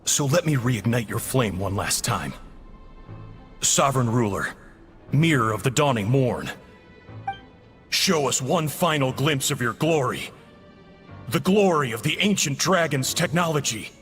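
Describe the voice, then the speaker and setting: A man's voice: medium pitch, animated, unhurried, pauses often, a bit bright, faint music behind. A man playing a smug, sardonic enemy agent in a video game, battered but defiant, with a theatrical mocking delivery. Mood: wry, defiant.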